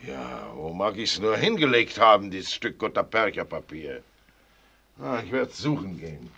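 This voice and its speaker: A man's voice: lowish voice, big pitch swings, moderate pace, creaky, pushed and bright, husky, exaggerated voice. An actor in a German radio play voicing a blunt, fussy older father who grumbles in a clipped manner. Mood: grumbling.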